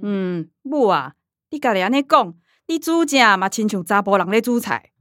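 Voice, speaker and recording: A woman's voice: mid-range voice, big pitch swings, moderate pace, fairly bright tone, no echo, studio quality. An actress with a Taiwanese Mandarin accent voicing a blunt, wry middle-aged mother in a radio drama.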